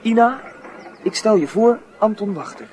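High-voiced nervous lovestruck schoolboy. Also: male voice, lively intonation, normal speed, a little mellow, slight background noise. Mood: anxious, insistent. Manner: insistent, hesitant, repeats instructions. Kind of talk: radio drama acting.

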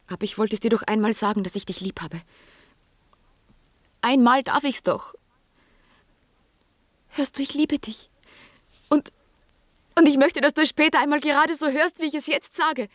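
A woman's voice: high-pitched, no room sound, clean studio sound, natural voice. An actress playing a proud, melancholy young woman in love, with intense theatrical delivery in a radio drama. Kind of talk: 1960s German radio drama acting.

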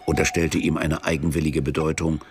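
A man playing the sombre, detached narrator of a radio play, reading literary prose deliberately in a gravelly voice. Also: very deep voice, little pitch movement, heavy background noise. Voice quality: gravelly, creaky. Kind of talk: scripted narration.

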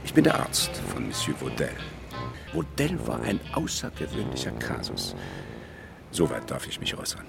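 An actor playing a suave, guarded French physician with a formal, discreet manner in a radio crime drama. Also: male voice, very deep voice, wildly swooping pitch, normal speed, creaky, over loud music, heavily acted.

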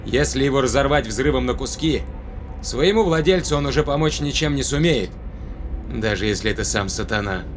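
An actor playing a stern, stubborn but shaken starship captain in an audio drama, with a commanding, terse, intense delivery. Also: male voice, mid-range voice, animated, fairly quick, slight background noise, lightly acted. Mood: resolute. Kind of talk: dramatic audio play acting. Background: clear background music.

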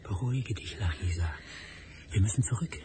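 Radio play: actor as earnest protective researcher, gentle and low. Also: male voice, moderate pace, noisy background, natural voice. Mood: tender.

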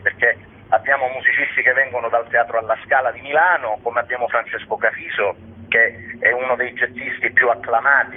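A man's voice: mid-range voice, breathy edge. A man speaking as an artistic director, giving explanatory answers as a radio interview guest. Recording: noisy narrow phone line.

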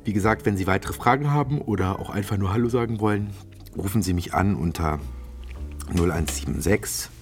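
Male radio host, very low register, music underneath. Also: a little fast. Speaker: host and presenter.

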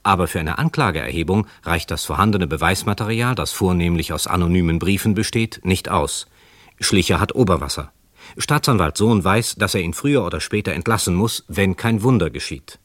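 A man narrating a scripted crime radio drama in a measured, factual way. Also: sits low, fairly bright tone, no room sound, studio quality.

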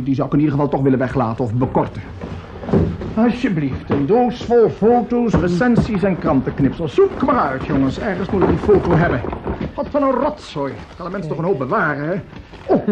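Grumpy, high-pitched orchestra conductor. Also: male voice. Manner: blunt, muttering, exclamatory. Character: grumpy opinionated male orchestra conductor, animated and scornful. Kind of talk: Dutch radio play acting. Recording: dull, noisy old radio recording.

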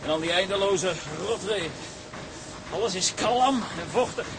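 A man's voice: high-pitched, normal speed, lightly acted. A male actor playing a discontented, complaining seaman in an audio drama. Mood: fed up. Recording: clearly noisy audio track.

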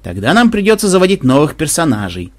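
A man's voice: a little high, wildly swooping pitch, fast talker, a little mellow. A man voicing a wry, philosophical male game tester with dry, matter-of-fact remarks.

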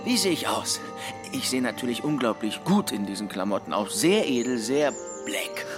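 A man's voice: mid-range voice, animated, moderate pace, music in the background, natural voice. An actor playing a flippant, swaggering young male dropout in a naturalistic radio drama. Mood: teasing. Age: young adult. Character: Jussi, cocky young male dropout and slacker.

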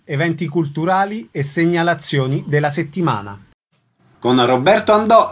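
Male culture-radio presenter and interviewer, fairly high voice with lively intonation.

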